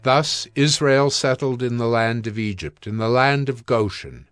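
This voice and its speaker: A man's voice: medium pitch, measured pace, fairly bright tone, clear, resonant tone, dry recording, clean studio sound. A man reading scripted Bible narration for an audio drama.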